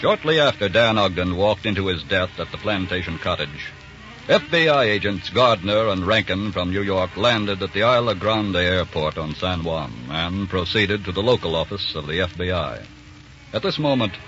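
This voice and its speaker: Male American narrator, lowish and forceful, grave dramatic delivery for old-time radio crime series. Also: animated, moderate pace. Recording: muffled old radio recording.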